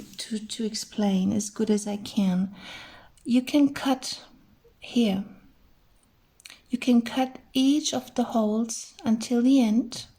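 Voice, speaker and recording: A middle-aged woman, chatting gently through a crafting tutorial and thinking aloud as she works. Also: on the high side, husky. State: hoarse. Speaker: crafting channel host. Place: indoors at a craft table.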